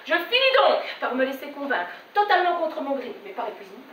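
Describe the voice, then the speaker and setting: Exasperated bride, played by an amateur actress: high-pitched, emphatic stage delivery, picked up from far off. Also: female voice, very animated, brisk, a bit bright. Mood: weary. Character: exasperated bride recounting family wedding-invitation squabbles. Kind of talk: theatrical stage monologue in French. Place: theatre stage at a dress rehearsal. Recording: echoey stage recorded from afar, faint noise.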